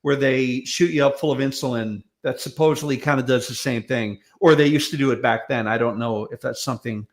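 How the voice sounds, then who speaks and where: A man chatting casually as a panel guest, with a wry, halting way of talking. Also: medium pitch. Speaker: guest commentator. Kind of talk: casual comic panel chat.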